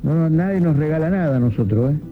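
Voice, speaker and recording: A man's voice: a little high, animated, quick pace. A man leaving an insistent, complaining voice message for a radio show. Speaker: radio listener sending a voice message. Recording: tinny phone audio with music.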